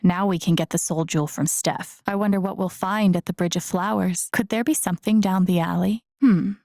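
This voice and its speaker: A woman's voice: fairly low pitch, fairly even intonation, a little fast, creaky, a little mellow, no room sound, clean studio sound. A young woman with an American accent acting a video game heroine, an empathetic, wry character who murmurs casually to herself. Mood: curious, focused. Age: young adult. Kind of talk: naturalistic video game voice acting, inner monologue.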